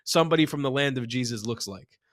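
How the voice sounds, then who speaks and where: A man's voice: mid-range voice, quick pace, a bit bright, dry recording, studio quality. A man from Israel, a journalist guest on a video call, talking passionately and arguing with rhetorical questions. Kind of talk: casual interview chat.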